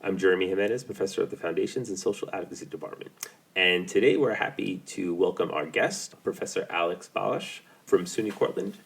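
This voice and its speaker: A man co-hosting a podcast, a professor giving brisk, easygoing introductions and questions. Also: deep voice, gravelly. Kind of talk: casual podcast chat.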